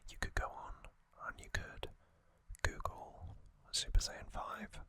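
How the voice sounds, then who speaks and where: An ASMR host whispering casual trivia, hushed and unvoiced, musing with frequent ums. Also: slow, no room sound.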